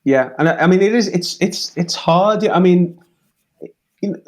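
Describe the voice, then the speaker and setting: A man's voice: high-pitched, normal speed. A man with a Liverpool accent, a songwriter co-hosting a podcast, talking earnestly and repeating himself as he finds his words. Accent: Liverpool English. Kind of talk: casual chat.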